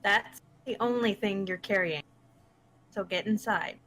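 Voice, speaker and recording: A woman's voice: medium pitch, a little slow, a bit bright, close to an everyday voice. A woman playing a playful, caring friend in her twenties, speaking conversationally in a dramatic script reading.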